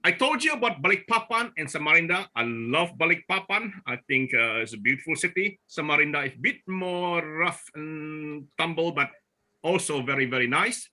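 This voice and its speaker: A man from Borneo gives a slide talk over a video call, his voice very bright and forceful. He speaks haltingly, doubling back on his words and filling gaps with hesitations, a touch higher than most men. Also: animated. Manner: halting, with frequent restarts and fillers. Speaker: forum speaker presenting slides. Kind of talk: online presentation talk.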